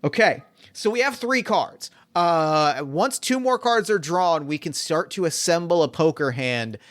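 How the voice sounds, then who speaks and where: A man running a solo tabletop game as game master, excitable and quick to laugh. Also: on the high side, no room sound. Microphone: remote call mic.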